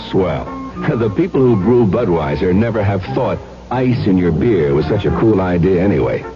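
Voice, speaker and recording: Wry, low-voiced radio ad man. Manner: wry, conversational. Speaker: commercial announcer. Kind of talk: 1970s radio beer ad. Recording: dull 1970s radio broadcast.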